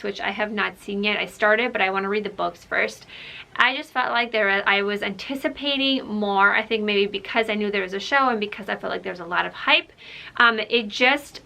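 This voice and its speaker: A woman from Ukraine, a native Russian speaker, talks straight to her viewers in a loose, rambling way, full of "like" and "um". Her voice is bright and forward, with a gravelly fry running through it. She comes across as a casual vlogger chatting to camera. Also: mid-range voice. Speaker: book vlogger.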